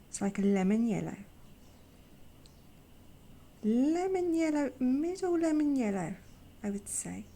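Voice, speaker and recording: A young woman with a croaky voice from a sore throat, gently hosting a casual art vlog where she swatches watercolours. She talks softly, often slips into a whisper, and hesitates with fillers. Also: a little high. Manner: soft-spoken, gentle, often drops to a whisper. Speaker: watercolour artist and channel host.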